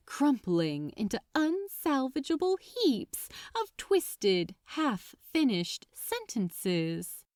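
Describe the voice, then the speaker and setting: Slow, expressive high-voiced woman narrator. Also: no echo, clean studio sound. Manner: deliberate, dramatic pauses between phrases. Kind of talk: dramatic scripted story narration.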